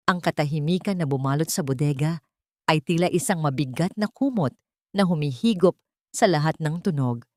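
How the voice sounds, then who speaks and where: Woman narrating drama, low-pitched and dry. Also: a little mellow, dry recording. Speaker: story narrator. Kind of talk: dramatic scripted story narration.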